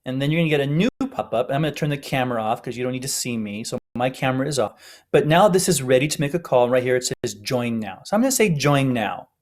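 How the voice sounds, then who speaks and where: A man's voice: medium pitch, animated, fast. A man giving an informal, step-by-step tutorial narration as an instructor. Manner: explanatory, step-by-step.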